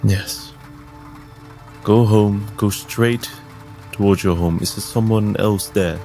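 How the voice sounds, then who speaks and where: A man plays a solemn, courteous fantasy priest with a very deep, gravelly voice. He is measured, formal and caring in this tabletop roleplay. Faint music sits underneath. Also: fairly even intonation, warm tone. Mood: gently firm. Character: solemn, gentle, courteous male healer-priest in a fantasy game.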